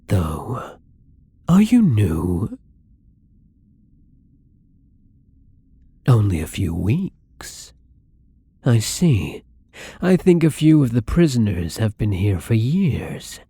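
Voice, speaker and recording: Casting: male actor for a timid, courteous new vampire in ASMR roleplay; very breathy, dark-toned, unhurried, halting. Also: lowish voice, no room sound, heavily acted. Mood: sympathetic, subdued. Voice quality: breathy, often slipping into whispers. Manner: hesitant, halting, polite, soft-spoken. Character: shy, gentle, newly turned male vampire, apologetic and hungry. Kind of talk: intimate ASMR roleplay acting.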